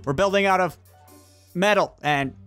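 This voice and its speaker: A man's voice: high voice, normal speed, fairly bright tone, smooth, soft background music. A man chatting casually with friends as he hosts a co-op gameplay video. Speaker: gamer, video host.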